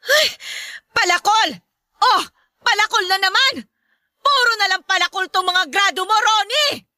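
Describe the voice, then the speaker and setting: A woman's voice: extremely high pitch, a little slow, sharp tone, no echo, studio quality, exaggerated voice. A woman playing a harsh, short-tempered mother who berates and threatens in a Tagalog radio drama. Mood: scornful anger.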